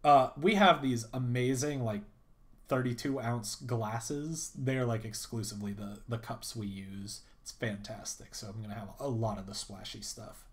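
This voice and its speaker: A male streamer with a raspy voice, chatting casually and rambling between reading scenes. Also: medium pitch, normal speed. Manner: rambling, self-deprecating. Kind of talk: casual livestream chat.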